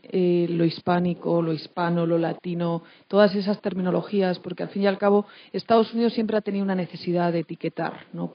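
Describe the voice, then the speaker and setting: A woman from Madrid with a Spanish accent from Spain, speaking as a teacher on a panel in a talkative, anecdotal way with frequent tag questions. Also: on the low side. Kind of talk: unscripted panel discussion. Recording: dull, lo-fi event recording.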